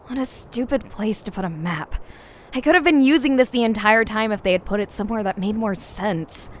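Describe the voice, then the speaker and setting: A woman's voice: mid-range voice, brisk, slight background noise, natural voice. An actress playing an exasperated young woman in an audio drama, grumbling sarcastically. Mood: annoyed grumbling.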